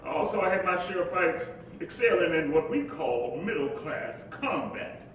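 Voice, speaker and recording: Reflective orator-ghost played by a man, theatrical monologue, high male voice in a reverberant, faintly noisy hall. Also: expressive, normal speed, fairly bright tone. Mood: wry, confiding. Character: reflective spirit of a civil rights preacher narrating his life. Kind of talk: theatrical first-person monologue in a staged reading. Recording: distant echoey hall recording, faint rumbling noise.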